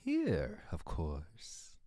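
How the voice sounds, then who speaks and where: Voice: low-pitched, wildly swooping pitch, very slow, mellow, dry recording, studio quality, heavily acted. An actor playing a sly, enigmatic murder suspect in an audio drama, polite and evasive with a theatrical, teasing manner. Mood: teasing, knowing.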